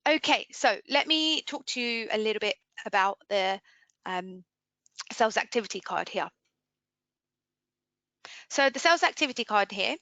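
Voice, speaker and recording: A woman giving an informal software demo as a webinar trainer, explaining conversationally and putting questions to listeners. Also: medium pitch, no room sound. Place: indoors, screen-share webinar voice-over.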